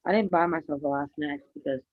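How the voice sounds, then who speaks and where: A woman's voice: sits low, quick pace, no echo. Woman in her forties, chatty and teasing. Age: 40s. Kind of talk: casual group chat. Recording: dull lo-fi mic.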